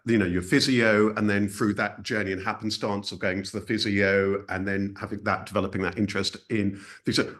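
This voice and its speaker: A man hosting an interview as a coach, asking questions in an encouraging way and murmuring along as he listens. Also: fairly low pitch.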